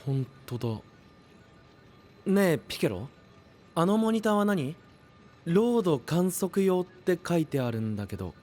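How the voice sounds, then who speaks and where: A man's voice: fairly high pitch, big pitch swings, warm tone. Sociable young guy, swooping intonation, casual, diplomatic, anime audio drama, faint music. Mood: tactful, probing. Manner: light, casual, tactful, quick retorts. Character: sociable, tactful young man, the group's quick straight man.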